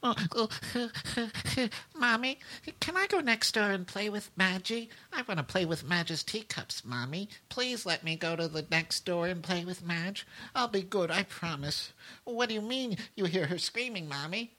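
An adult performer voicing a frightened little girl in a dark comedy sketch, whimpering and pleading in a childlike way. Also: medium pitch, expressive, a little slow. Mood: wistful pleading.

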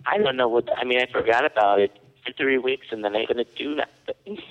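A man putting on a raspy, muddled woman's voice as a prank caller, rambling and hesitant in a dull lo-fi phone recording. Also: mid-range voice, brisk, natural voice. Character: confused raspy female prank caller claiming to be a young woman.

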